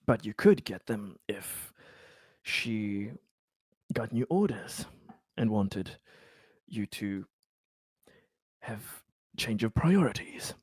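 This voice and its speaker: A man playing a paranoid, wary injured colonist in a tabletop game, speaking in halting, guarded phrases. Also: on the low side, expressive, a little mellow, breathy edge, no echo, heavily acted.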